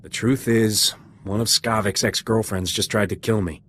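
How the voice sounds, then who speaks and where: An actor playing a cocky, wisecracking space smuggler in a video game, with a glib, dry delivery. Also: male voice, on the low side, steady tone, normal speed, a bit bright, slight background noise, close to an everyday voice.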